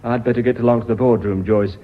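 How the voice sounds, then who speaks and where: A man's voice: medium pitch, steady tone, fast talker, lightly acted. A man acting in a radio drama as a flippant, cynical womaniser who flirts persistently. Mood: flirtatious. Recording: muffled lo-fi radio recording, faint hiss.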